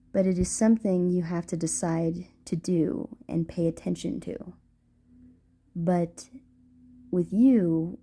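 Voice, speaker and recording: A woman playing a gentle, playful ghost girlfriend in an intimate romantic audio roleplay, speaking softly and formally with halting hesitations. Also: on the low side, creaky, heavily acted. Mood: thoughtful, hesitant. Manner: soft, hesitant, formal wording with halting stammers. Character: gentle playful ghost girlfriend, shy, tender, old-fashioned speech.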